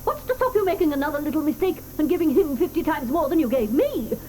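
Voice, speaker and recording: An actress playing a cantankerous, sharp-tongued old grandmother in a 1980s audio drama, scolding and hurling insults. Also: sits high, big pitch swings, fast, noisy background. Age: older. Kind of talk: 1980s radio drama acting.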